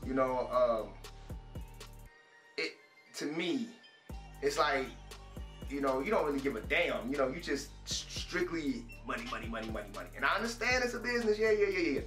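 A man's voice: mid-range voice, lively intonation, normal speed, pauses often, a bit bright, echoey room, faint music behind. A man from Detroit, a rapper, talking hesitantly with many "um"s and "you know"s, indignant, with a Detroit accent. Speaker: rapper interviewee. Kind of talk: candid interview talk.

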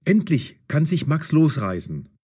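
Animated, husky male story narrator. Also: a little high, unhurried. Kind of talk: scripted story narration for language learners.

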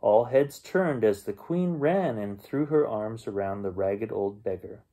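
A middle-aged man reads a children's bedtime story aloud as its host, with expressive storytelling. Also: on the low side, unhurried. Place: small bedroom at home, indoors.

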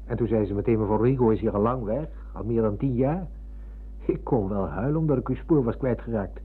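Actor as a husky, courteous middle-aged visitor. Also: male voice, medium pitch, brisk, lightly acted. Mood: narrating, earnest. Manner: courteous, deferential. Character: polite middle-aged former pupil visiting his old teacher. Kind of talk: radio play acting. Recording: muffled phone-like old radio recording.

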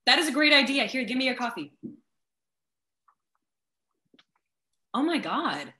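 A woman playing a brash, blunt, foul-mouthed new mother with joking warmth in a comedic play reading over a video call. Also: sits high, very animated, quick pace, reverberant, heavily acted. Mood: playful. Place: video call in an echoey room.

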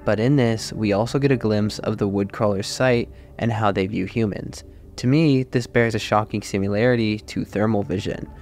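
A man's voice: lowish voice, a little mellow. A man hosting a scripted, analytical video essay, narrating step by step as a voice-over. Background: music behind the voice.

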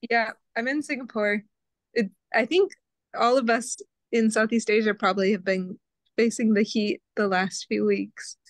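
A woman's voice: fairly high pitch, steady tone, normal speed, no echo. A woman organizing a group, chatting cheerfully on a video call and laughing easily. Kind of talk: casual chat on video call.

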